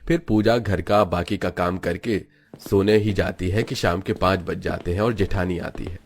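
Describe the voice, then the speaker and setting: Low-pitched male story narrator. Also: fairly even intonation, moderate pace, breathy edge.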